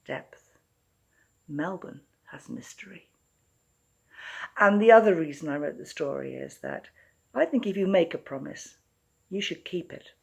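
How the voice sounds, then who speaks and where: A woman author presenting and reading her own story in an expressive storytelling style. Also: low voice, gravelly, husky.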